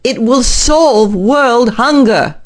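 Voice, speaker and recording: A woman's voice: fairly high pitch, lively intonation, very slow. A woman playing a middle-aged, earnest and driven scientist in an audio drama, speaking formally and insistently. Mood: urgent, pleading.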